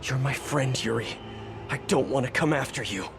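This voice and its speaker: An actor playing an earnest, wisecracking young superhero in a video game, with an American accent and a chatty, wry manner. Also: male voice, medium pitch, moderate pace, creaky, close to an everyday voice. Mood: troubled, reflective. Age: young adult.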